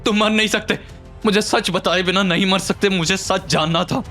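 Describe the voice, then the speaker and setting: A man voicing a fierce, desperate young hero in an audio drama, shouting in frustration. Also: high-pitched, fairly even intonation, normal speed, pitched above the performer's own voice. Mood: frustrated, shouting. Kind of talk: Hindi audio drama acting. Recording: music under the voice.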